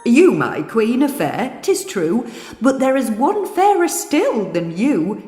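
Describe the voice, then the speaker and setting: Woman voicing a grand, slow talking magic mirror. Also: fairly high pitch, very animated, mellow, reverberant, in a large hall, faint music behind, voice pushed up. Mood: solemn. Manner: grand, declaiming in rhyme. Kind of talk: children's storybook character voice.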